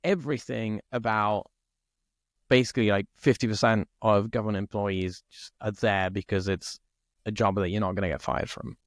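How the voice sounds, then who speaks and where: A man from Italy co-hosting a podcast, chatting casually and thinking out loud, often checking in with "right?". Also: on the low side, steady tone, normal speed, no echo, clean studio sound. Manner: thinks aloud, peppers speech with "like" and "right?". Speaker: podcast co-host. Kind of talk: casual conversation.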